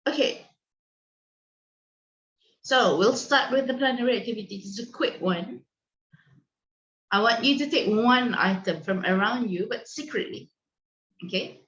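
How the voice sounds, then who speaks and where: A woman's voice: on the high side, animated, unhurried, long pauses, fairly bright tone. Malaysian-raised woman hosting an echoey video call. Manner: friendly instructive, many fillers and restarts. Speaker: online session host and co-founder. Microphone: video call microphone. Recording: dull lo-fi echoey call audio.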